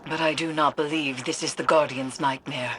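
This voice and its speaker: An actress playing a grave mystic guide in a video game, speaking in solemn, formal, cryptic phrases of warning. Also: female voice, low-pitched, fairly even intonation, moderate pace, noisy background, close to an everyday voice. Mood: solemn, foreboding. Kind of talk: scripted video game dialogue. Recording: loud music behind the voice.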